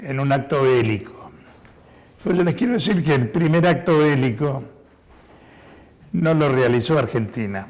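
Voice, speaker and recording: A hoarse man in his sixties, born in Spain, giving a war veteran's testimony to an audience, explaining things carefully with many hesitations. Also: mid-range voice.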